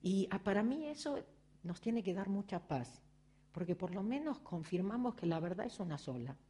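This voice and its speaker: A woman's voice: medium pitch, animated, normal speed, mellow. A middle-aged woman with an Argentine accent giving a reflective, personal motivational talk, often turning to the audience with rhetorical questions. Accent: Argentine Spanish. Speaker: spiritual speaker.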